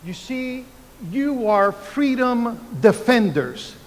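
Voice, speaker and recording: A Cuban-born man in his seventies giving a commencement speech at a podium microphone in a large university arena, speaking in deliberate phrases with emphatic pauses. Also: extremely high pitch, big pitch swings. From Cuba. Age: 70s. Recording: reverberant arena sound.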